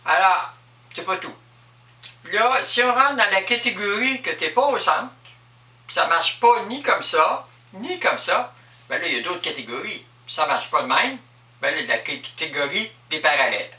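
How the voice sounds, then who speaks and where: A man's voice: sits high, animated, normal speed, bright, forceful tone. A man with a Quebec French accent giving an improvised explanatory monologue in an emphatic, repetitive manner. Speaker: vlogger explaining personal spiritual beliefs. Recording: echoey room, distant microphone.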